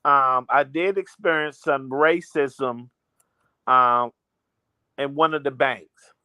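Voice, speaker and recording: A man's voice: mid-range voice, slow pace, pushed and bright, smooth, no echo. A man telling a personal story in casual talk, swearing and acting out his own reactions.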